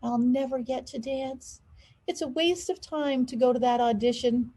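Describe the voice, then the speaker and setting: A woman's voice: sits high, moderate pace, dark tone, breathy edge. A woman reading aloud a sassy, sensitive but defiant girl from a children's story. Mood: upset.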